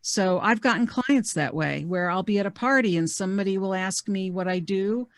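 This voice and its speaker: A woman's voice: mid-range voice, moderate pace. A middle-aged woman career coach presenting a networking webinar from her home office over a video call, talking conversationally with plenty of fillers. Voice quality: loud voice. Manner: conversational, lots of fillers and restarts.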